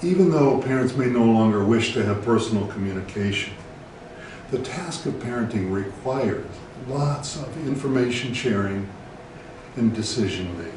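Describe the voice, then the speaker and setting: American guy therapist doing a measured how-to talk, super echoey, bit of background hiss. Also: medium pitch, expressive. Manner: measured, deliberate, instructional. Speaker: child and family therapist presenting advice. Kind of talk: scripted educational talk to camera. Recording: very echoey room, distant mic, faint noise.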